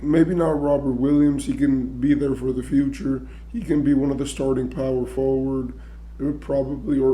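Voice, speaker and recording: A man with a strained voice he says he is losing, clearing his throat now and then as he talks through a basketball trade in loose, chatty commentary full of "right?" and "you know". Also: mid-range voice, mellow, low-quality recording. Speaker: sports commentary YouTuber.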